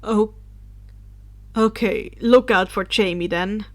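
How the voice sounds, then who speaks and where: A woman voicing an earnest, good-natured teenage boy who is a Dementor, breathy and sheepish, in a story reading. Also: mid-range voice, slow pace, dry recording, lightly acted. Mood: casual.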